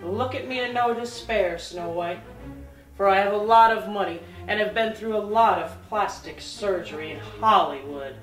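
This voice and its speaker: A woman's voice: medium pitch, moderate pace, natural voice. A woman playing a vain, wicked, boastful stepmother queen in a comic fairy tale play, declaiming theatrically. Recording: echoey stage, distant mic, music behind.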